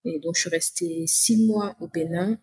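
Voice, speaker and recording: A woman in her late thirties talks as a podcast guest, her voice slightly low and a little husky. She chats freely and rambles, circling back with restarts and small fillers as she goes. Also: steady tone, moderate pace, darkish tone, low-quality recording. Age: late 30s. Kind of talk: casual interview conversation.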